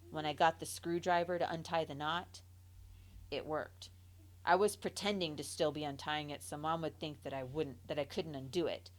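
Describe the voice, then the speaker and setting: A sheepish young boy quietly rambles through a confession, as a woman reader puts him on. His voice stays low and close to plain speech. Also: lightly acted.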